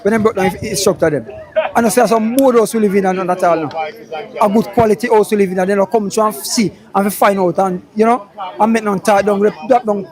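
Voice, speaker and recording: A man's voice: high voice, brisk, crowd noise. A Jamaican man, a lifelong resident, speaking plainly in Patois.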